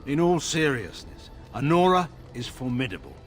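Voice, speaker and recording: An actor as a stern, weary general, swooping intonation. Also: male voice, medium pitch, very animated, loud music behind the voice, close to an everyday voice. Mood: firm, proud. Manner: grave, measured, formal, dryly sardonic. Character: proud, weary, stern middle-aged general and father. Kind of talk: dramatic fantasy game dialogue.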